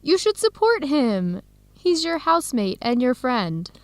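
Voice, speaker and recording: An enthusiastic young American researcher in a radio drama. Her voice is high and very lively, warm and friendly in manner, recorded dry and close. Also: a little slow, no room sound. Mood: friendly, curious. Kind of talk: educational radio drama acting.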